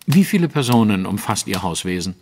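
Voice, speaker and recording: An actor playing a sceptical detective in an audio drama, putting brisk, inquisitive questions. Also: male voice, on the low side, sing-song, a little mellow, slightly rough, full character voice. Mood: probing. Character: probing, sceptical male detective.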